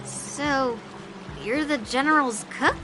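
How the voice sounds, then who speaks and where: A competitive young swordswoman in a video game. Her voice is high, her manner blunt and informal, and music runs underneath. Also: slow pace, poor audio. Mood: blunt, suspicious. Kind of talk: scripted video game voice acting.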